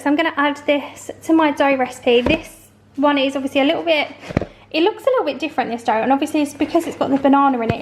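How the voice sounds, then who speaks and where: Female home-baking vlogger, high voice with slight hoarseness, casual and explanatory, roomy sound with faint noise. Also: darkish tone. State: slightly hoarse from a long call. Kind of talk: casual chatty baking vlog narration. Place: home kitchen. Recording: echoey room, faint noise, clicks.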